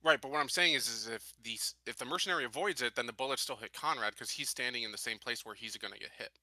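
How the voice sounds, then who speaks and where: A man's voice: medium pitch, fast talker, bright, forceful tone, dry recording. A man chatting casually as a player in a tabletop game.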